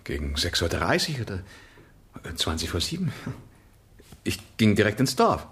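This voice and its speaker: A man's voice: lowish voice, wildly swooping pitch, moderate pace, a bit bright. A man playing a nonchalant, flippant painter in a radio play, answering in a casual, teasing, offhand way. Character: flippant, nonchalant male painter under suspicion. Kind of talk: German radio play acting. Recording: faint noise in studio recording.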